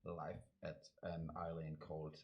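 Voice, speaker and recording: A man's voice: rumbling low, completely flat delivery, measured pace, lo-fi sound, crowd noise. A young man from Denmark with a Danish accent, chatting casually and hesitantly as a guest, circling around his point. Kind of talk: casual interview chat.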